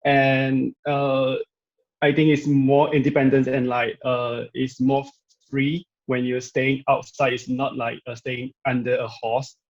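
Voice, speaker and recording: A young man from Malaysia whose first language is Chinese speaks halting English as a student guest. His voice is mid-pitched and flat. It comes through a dull, muffled video-call line. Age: young adult. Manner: halting, with simple phrasing.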